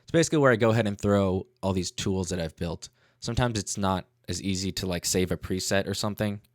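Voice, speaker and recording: A man's voice: deep voice, quick pace, dry recording. A man hosting a casual livestream tutorial as a music producer, talking in a laid-back, slangy way into a studio microphone.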